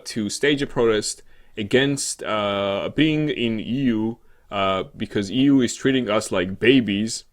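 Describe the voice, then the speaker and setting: A man co-hosting a podcast in casual discussion, speaking in a hesitant, halting way as he builds hypothetical scenarios. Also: on the low side. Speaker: podcast co-host.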